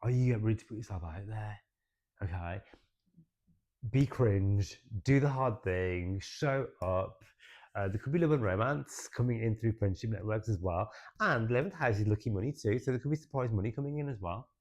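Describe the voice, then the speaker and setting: A young man giving a casual, expressive tarot and astrology reading, chatty and swearing now and then, with "right?" tacked onto his points. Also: on the low side, normal speed. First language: English.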